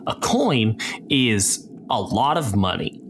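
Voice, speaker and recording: A man acting as game master, casually narrating and explaining a tabletop game in a halting, thinking-aloud way. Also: on the low side, big pitch swings, vocal fry, noisy background.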